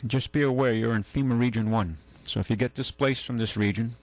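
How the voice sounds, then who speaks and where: A man's voice: mid-range voice, fairly even intonation, fairly quick. A man hosting a talk radio show, telling long anecdotes with many restarts and acting out the quotes. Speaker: radio show host and car dealer. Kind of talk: casual on-air storytelling. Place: radio studio.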